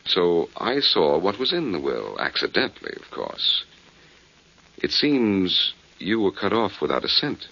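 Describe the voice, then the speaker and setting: Gravelly, low-voiced 1940s radio detective. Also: male voice, sing-song, measured pace, bright, forceful tone, full character voice. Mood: sly bluffing. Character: cool, quick-witted male amateur detective. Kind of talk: 1940s radio drama acting. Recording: dull 1940s radio recording.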